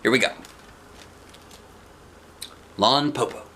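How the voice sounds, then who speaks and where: A man reading a picture book aloud as a dad does, in expressive narration with casual asides. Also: medium pitch, takes it slowly, slight background noise.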